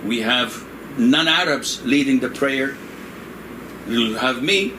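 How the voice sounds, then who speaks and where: An older man originally from Indonesia, a religious scholar answering questions in a relaxed, joking, digressive way, laughing often. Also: medium pitch, slow pace. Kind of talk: conversational religious discussion. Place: room in Saudi Arabia. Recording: noisy room with air conditioning hum.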